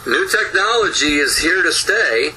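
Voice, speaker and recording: A man presenting a recorded conference lecture from his home office, talking fast with frequent restarts and repeated words. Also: fairly high pitch, animated, pushed and bright, slight background noise, low-quality recording.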